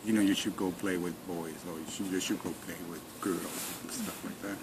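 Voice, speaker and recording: A man in his forties with a Mexican Spanish accent and a very deep voice. He answers in short, plain phrases with halting restarts. The recording has a steady noisy hiss behind it. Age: 40s. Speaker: interviewee. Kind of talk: oral history interview.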